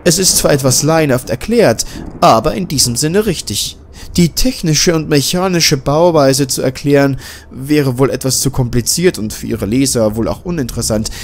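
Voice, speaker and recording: A man voicing a smug, formal male scientist in a castle in a self-made audiobook, speaking in a measured, condescending way. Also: medium pitch, a little hiss or hum, close to an everyday voice. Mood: lecturing. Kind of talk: audiobook character voice.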